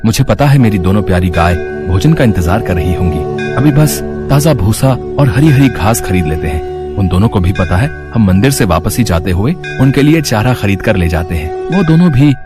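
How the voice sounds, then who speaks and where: A man's voice: fairly low pitch, animated, moderate pace, over loud music, natural voice. A man playing a devout, anxious village husband in a story, speaking in an earnest, pleading way. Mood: fond. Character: devout, anxious village husband who loves his cows. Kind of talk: story voice acting.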